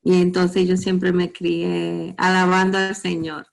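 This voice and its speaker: A middle-aged woman from the Dominican Republic with a Dominican Spanish accent, a psychotherapist who is a guest on a radio interview, speaking calmly and steadily with some small hesitations. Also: on the low side, takes it slowly, vocal fry.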